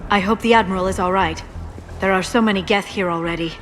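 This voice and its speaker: A woman's voice: medium pitch, fast talker, long reverb tail, natural voice. A woman voicing an earnest, alert female alien squadmate in a video game. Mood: concerned. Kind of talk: dramatic video game acting.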